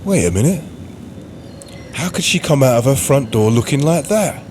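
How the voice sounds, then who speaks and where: A man's voice: medium pitch, expressive, moderate pace, a little mellow, a little hiss or hum, natural voice. A man playing a nervous, gossipy commuter muttering furtive thoughts to himself in a radio play. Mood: furtive, judging. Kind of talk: radio play inner monologue.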